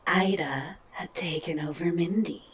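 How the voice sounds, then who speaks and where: A woman narrating a scripted horror drama in a breathy, whispery, gravelly voice. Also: lowish voice, measured pace.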